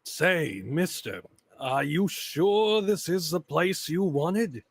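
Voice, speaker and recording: A man's voice: a little high, animated, takes it slowly. A man playing a nervous city cab driver in a vintage radio drama. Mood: uneasy. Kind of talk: 1930s radio drama acting.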